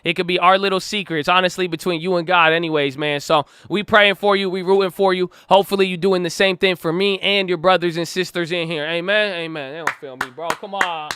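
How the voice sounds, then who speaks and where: Hyped high-voiced man with slangy chat. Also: steady tone, fairly quick, few pauses, sharp tone, smooth. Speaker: trading channel host. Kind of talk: casual hyped vlog talk.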